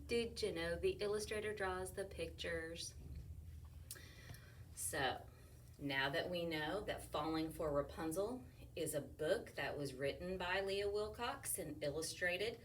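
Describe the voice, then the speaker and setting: A woman reading a picture book aloud to children in an animated way, asking them questions and sometimes dropping to a whisper. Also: lowish voice, far from the mic, slight background noise. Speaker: storytime reader, teacher.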